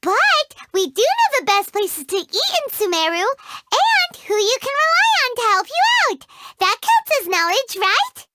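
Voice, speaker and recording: A woman voicing a tiny, excitable fairy companion in a video game who talks about herself in the third person. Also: measured pace, very noisy, heavily acted. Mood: chirpy, excitable. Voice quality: high squeaky childlike voice. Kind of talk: video game character dialogue.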